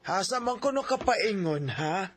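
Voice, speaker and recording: Husky, slow Bisaya mother. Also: mid-range voice, expressive. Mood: teasing, curious. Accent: Philippine Bisaya. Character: nosy, teasing middle-aged mother of a grown son. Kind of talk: radio drama acting.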